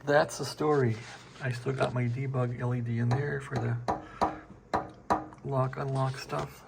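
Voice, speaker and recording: A gravelly-voiced American man talks casually through a hobby project at an ordinary male pitch. His delivery is unscripted, folksy and rambling. It is recorded on a handheld phone with faint noise behind him. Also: flat delivery, unhurried. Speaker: radio hobbyist showing a restoration project. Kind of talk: casual unscripted show-and-tell.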